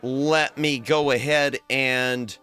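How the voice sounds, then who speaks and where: A man's voice: mid-range voice, fairly even intonation, slow, pushed and bright, clean tone, soft background music. Tired, playful male streamer. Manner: playful, rambling, hums tunes to himself. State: tired, strained throat. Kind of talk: casual livestream chat.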